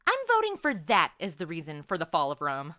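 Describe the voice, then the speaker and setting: A female podcast host with a bright, forward voice and very animated pitch. She is playful and quippy, steering comedic interview banter with jokes and prompts. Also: a little fast.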